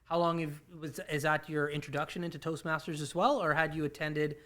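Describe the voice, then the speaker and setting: A man's voice: a little high, steady tone, a little fast, sharp tone. A man hosting a morning show in a casual, conversational interview style.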